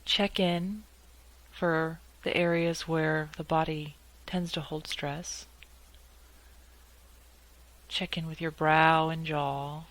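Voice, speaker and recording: A woman leading a guided meditation in a calm, measured way, leaving long pauses between short phrases. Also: low-pitched, poor audio.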